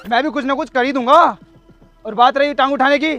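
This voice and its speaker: Man acting a high-pitched, brash village braggart. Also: fairly quick, sharp tone, dry recording, soft background music. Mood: taunting. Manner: combative, boastful, taunting. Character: brash, boastful village man campaigning for a loudspeaker. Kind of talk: loud Hindi village comedy skit acting.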